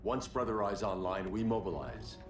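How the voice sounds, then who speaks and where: A man's voice: deep voice, lively intonation, moderate pace. A man playing a grim, guarded vigilante leader in a video game, speaking in terse, commanding lines. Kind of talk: dramatic video game acting. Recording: roomy echo under loud cinematic music.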